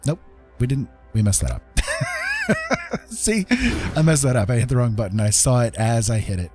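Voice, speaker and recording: A man's voice: medium pitch, lively intonation, moderate pace, mellow, faint music behind. A middle-aged man casually commentating as he plays a game live, friendly and rambling, often talking to himself. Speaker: game streamer.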